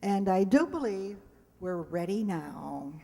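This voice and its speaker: A woman's voice: medium pitch, lively intonation, slow pace, vocal fry, mellow. A woman hosting a live show on stage, addressing an audience in an informal way with ad-libbed asides. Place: hall before a live audience. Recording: hall reverb, live stage recording.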